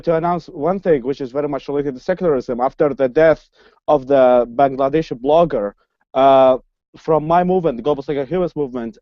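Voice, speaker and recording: A man from the Middle East speaks in a fairly high voice, stumbling and restarting his sentences as he explains his work. The sound is dry and muffled, like a lo-fi call. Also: no room sound. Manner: halting, frequent restarts. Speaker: human rights activist guest. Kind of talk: casual podcast interview.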